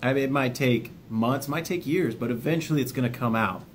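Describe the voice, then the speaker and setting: A man with a mid-pitched voice hosts an informal video, speaking plainly and directly to his viewers. His manner is folksy, with jokey asides, and there is a faint rumbly noise behind him. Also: a little fast. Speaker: video host, army veteran sharing self-help lessons. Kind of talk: informal video talk, partly reading a book overview.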